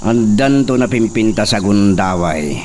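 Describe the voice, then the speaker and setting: A man's voice: on the low side, expressive, unhurried, a little mellow, far from the mic, a little hiss or hum. An actor playing a crafty male accomplice who presses with pointed questions. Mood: scheming.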